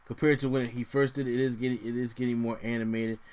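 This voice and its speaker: A man co-hosting a laid-back podcast, talking through his wrestling predictions. He gets animated and often restarts his sentences mid-thought. His voice sits at an ordinary middle pitch and leaps up when he is surprised. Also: fast talker. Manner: animated, lots of restarts and sudden pitch jumps. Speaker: podcast co-host. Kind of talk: casual wrestling predictions chat.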